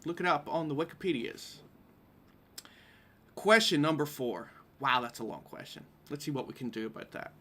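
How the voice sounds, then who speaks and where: Bright-voiced Northern Irish male quiz host. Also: a little high, expressive, moderate pace. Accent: Northern Irish, Derry. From Derry, Northern Ireland. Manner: informal, wry asides, repeats questions. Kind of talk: live-streamed pub quiz hosting, reading questions aloud.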